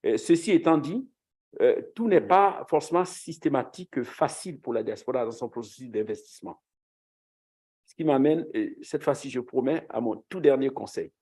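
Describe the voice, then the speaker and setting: A man speaking earnestly on a conference panel as a former official, often pausing and restarting phrases. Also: mid-range voice, expressive, dark tone, breathy edge. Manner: earnest, hesitant with frequent restarts.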